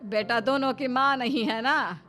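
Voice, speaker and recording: Woman acting bright-voiced, motherly mother-in-law. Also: fairly high pitch, unhurried, soft background music. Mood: affectionate. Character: older mother-in-law, caring, firm, scolding peacemaker. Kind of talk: dramatised Hindi family story.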